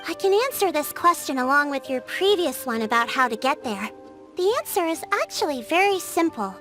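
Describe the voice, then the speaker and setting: Measured, earnest young tribeswoman. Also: high voice, very animated. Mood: explaining. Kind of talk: video game voice acting. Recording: music behind the voice. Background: game music.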